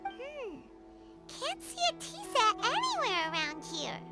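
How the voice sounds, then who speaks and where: Squeaky, very high childlike fairy. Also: female voice, wildly swooping pitch, unhurried, loud music behind the voice, full character voice. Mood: puzzled, pensive. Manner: refers to self in third person, chirpy. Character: small childlike floating fairy companion, cute, earnest.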